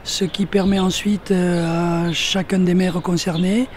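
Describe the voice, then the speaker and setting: A man giving formal, measured interview remarks as a local elected official. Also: high voice, level tone, a little hiss or hum.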